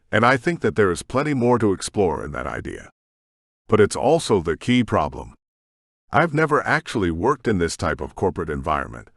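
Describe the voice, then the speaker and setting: Low-voiced man narrating an audiobook. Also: no room sound, studio quality. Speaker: narrator and author.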